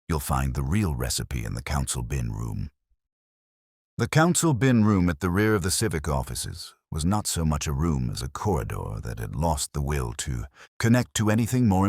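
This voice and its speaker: A man reading a scripted mystery story as narrator, in a measured, dryly wry way. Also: rumbling low, no room sound, studio quality.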